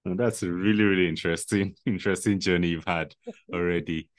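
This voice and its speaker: A man's voice: low voice, steady tone, fairly quick, no echo. A man hosting a podcast, introducing his guest and reacting with short comments and laughs. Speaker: podcast host. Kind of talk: conversational interview.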